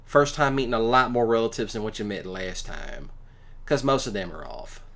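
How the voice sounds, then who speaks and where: A man improvising a casual boyfriend roleplay, talking in a folksy, halting way with drawn-out pauses. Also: fairly low pitch, expressive, fairly bright tone. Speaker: ASMR roleplay performer.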